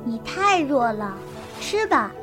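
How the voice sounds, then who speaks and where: A woman plays a stubborn young wild girl in a wuxia radio play, with a very high, childlike voice. She is curt and bossy yet protective, over background music. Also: big pitch swings, slow pace, full character voice. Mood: matter-of-fact. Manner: curt, brusque, bossy but caring. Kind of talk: Chinese period wuxia audio drama acting.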